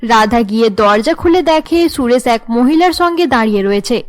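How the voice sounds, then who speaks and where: A woman narrates a cartoon family tale. Her voice is high, and she delivers the script as a storyteller setting out each scene. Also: animated, moderate pace.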